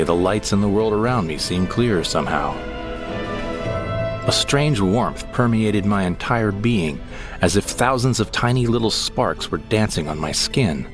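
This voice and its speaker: A man with a very deep voice narrates a comic sci-fi audio drama in the first person, spinning the tale wryly and theatrically. Clear music plays under his voice throughout.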